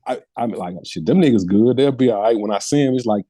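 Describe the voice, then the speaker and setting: A man's voice: mid-range voice, quick pace, mellow, no echo. A man talking casually in slangy, profane language with a heartfelt manner. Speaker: podcast guest. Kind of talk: casual chat.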